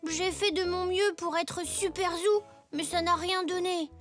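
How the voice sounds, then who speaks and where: A performer voicing an eager little boy zebra playing superhero in a children's cartoon, earnest and expressive. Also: extremely high pitch, unhurried, soft background music. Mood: disappointed. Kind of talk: French children's cartoon dubbing.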